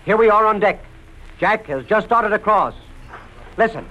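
High-pitched 1930s radio announcer man. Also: expressive, moderate pace, sharp tone, muffled recording. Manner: punchy, dramatic announcing. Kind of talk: 1930s radio advertising and story narration.

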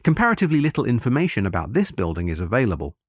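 A man reading a scripted history account in a measured, formal and articulate way. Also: fairly low pitch, wildly swooping pitch, husky, no echo, clean studio sound. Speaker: history lecturer and narrator.

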